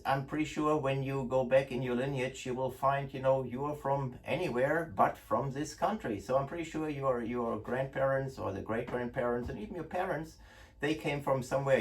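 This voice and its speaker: A middle-aged man from Germany with a clear German accent, talking casually to camera as the host of his shop's channel. His speech is chatty and full of fillers and restarts, with a middling pitch. He sits back from the mic, so the sound is distant and roomy. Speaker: host, gun importer. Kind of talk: casual product talk to camera. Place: indoor office room. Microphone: far camera.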